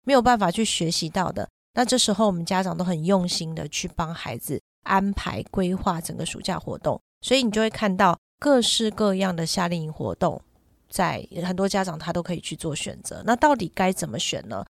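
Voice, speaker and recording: A woman's voice: fairly low pitch, expressive, brisk, vocal fry, no room sound, clean studio sound. A woman, likely middle-aged, co-hosting a conversational parenting podcast and explaining her points step by step. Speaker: podcast co-host and mother.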